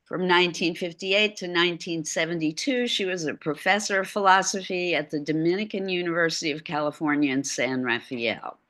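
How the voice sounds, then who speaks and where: Middle-aged woman, low bright voice, unhurried lecturer on a video call. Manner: slow, chatty asides while lecturing. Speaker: art lecturer. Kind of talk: online art lecture.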